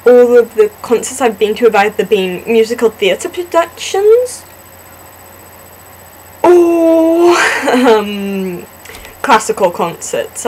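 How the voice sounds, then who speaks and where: A young woman, born in Singapore and raised in the southwestern US, casually answering questions about herself to a camera, chatty and self-deprecating with many pauses and ums. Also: mid-range voice, a little mellow, slight background noise, low-quality recording. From born in Singapore, grew up in the southwest US. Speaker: vlogger in a fan collab channel.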